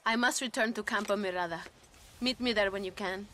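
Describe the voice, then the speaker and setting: A woman's voice: mid-range voice, a little fast, bright, forceful tone, natural voice. An actress playing a resolute, courteous young woman revolutionary in a Western video game, speaking with a Mexican accent. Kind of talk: video game cutscene acting. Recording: noisy outdoor scene.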